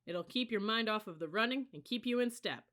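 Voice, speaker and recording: An audiobook reader voicing a cocky young male cadet drill leader, chanting running cadences and shouting orders in a sing-song voice. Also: medium pitch, lively intonation, brisk, pitched above the performer's own voice. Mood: brisk commanding.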